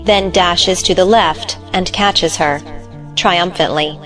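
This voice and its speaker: A woman's voice: lowish voice, slow pace, fairly bright tone, faint music behind, rough recording. A woman giving scripted narration, reading out the stage directions of a play.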